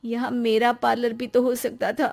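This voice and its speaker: A woman voicing an emotional young wife in a cartoon story, speaking in a pleading way that takes offense quickly. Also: on the high side, flat delivery, vocal fry, darkish tone, pitched above the performer's own voice. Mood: worried.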